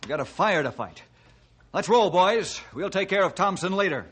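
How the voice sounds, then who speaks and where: An actor playing a calm, commanding forest ranger chief in 1950s radio adventure style, briskly giving orders. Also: male voice, sits high. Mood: urgent, commanding. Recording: noisy vintage 1950s radio recording.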